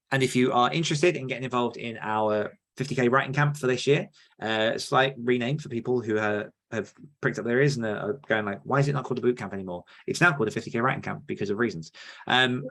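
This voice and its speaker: A man with a fairly low voice hosts a podcast. He talks informally and rambles with enthusiasm, keeping it loose and conversational. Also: a bit bright. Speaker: podcast host. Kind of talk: casual podcast chat.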